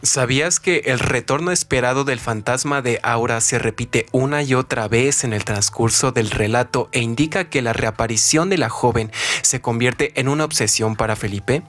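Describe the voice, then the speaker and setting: A man's voice: medium pitch, normal speed, a bit bright. A man narrating scripted literary commentary in a formal, rhetorical manner.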